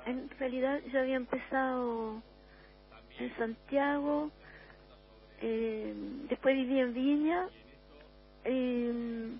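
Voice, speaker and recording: An older woman from Uruguay with a Chilean accent, a musician guest on a radio interview, talking in a reflective, unhurried way with many restarts. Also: sits high, fairly even intonation, highs cut off, soft background music.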